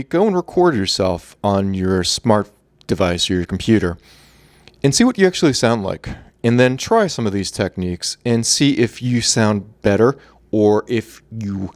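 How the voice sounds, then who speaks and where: A man talking through voice and breathing exercises in a relaxed, conversational way. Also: on the low side. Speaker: voice coach. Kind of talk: instructional talk.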